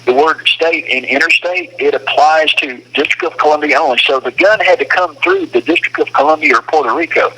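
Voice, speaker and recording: A man's voice: fairly high pitch, big pitch swings, a little fast, gravelly, hardly any pauses, pushed and bright, a little hiss or hum. A man talking casually and with strong opinions over a phone call, explaining his points with emphasis. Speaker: detainee speaking on a phone call from a detention facility. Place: phone call from a detention facility.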